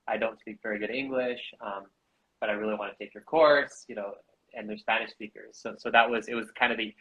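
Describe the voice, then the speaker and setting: A man from Canada and the US, co-founder of a bamboo architecture school, talking casually in an interview with halting restarts and fillers. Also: fairly low pitch, dull audio.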